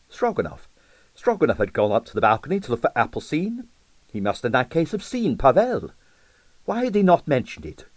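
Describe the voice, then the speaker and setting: A man's voice: medium pitch, a little mellow, no room sound. Male narrator for a vintage detective audiobook, storytelling delivery, dry studio sound. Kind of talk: dramatic scripted narration of a vintage detective novel.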